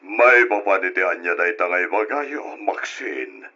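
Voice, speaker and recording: An actor playing an older, easygoing father and husband in a radio drama, hearty and animated, often chuckling. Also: low-pitched, moderate pace. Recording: lo-fi old radio drama recording.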